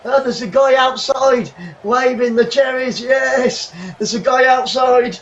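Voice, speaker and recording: Excitable man calling a match live. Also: very high voice, slow pace, dull audio. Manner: excitable, rising to shouts on chances. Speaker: watchalong host. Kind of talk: live football watchalong commentary. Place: home bedroom.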